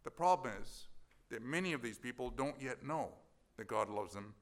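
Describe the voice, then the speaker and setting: A man's voice: medium pitch, animated, moderate pace. A man giving a mission presentation at a church gathering, talking conversationally and putting questions to the audience.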